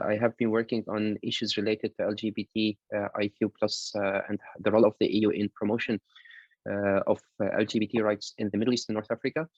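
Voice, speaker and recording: A man with a Lebanese accent, an academic introducing himself on a panel over a video call in halting phrases. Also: fairly low pitch, dry recording. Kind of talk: informal self-introduction on a panel. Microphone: online video call. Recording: dull lo-fi online call audio.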